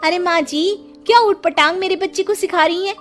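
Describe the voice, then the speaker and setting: A woman narrator voices a greedy, haughty young daughter-in-law in a storybook tale. Her voice is pitched high and scolding, with music underneath. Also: brisk. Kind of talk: storybook character acting.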